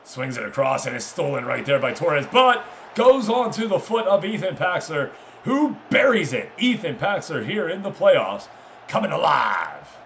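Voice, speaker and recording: A man's voice: fairly high pitch, big pitch swings, moderate pace, vocal fry, a bit bright, a little hiss or hum. A man doing live, informal play-by-play of a football game, chatting casually with viewers between passes. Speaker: streamer commentating a football management game.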